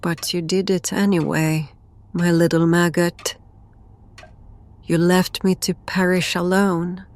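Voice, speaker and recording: A woman's voice: lowish voice, flat delivery, slow pace, a little mellow, no echo. A woman playing a ghostly, deranged mother in a horror audio drama, crooning sweetly and menacingly with eerie whispers. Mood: bitterly accusing.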